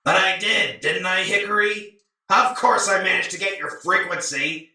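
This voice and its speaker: A man voicing a sinister, gloating Santa Claus villain in a theatrical, taunting, drawn-out way for an audio drama. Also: high-pitched, fairly even intonation, sharp tone. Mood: smug, taunting. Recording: echoey room, distant from mic.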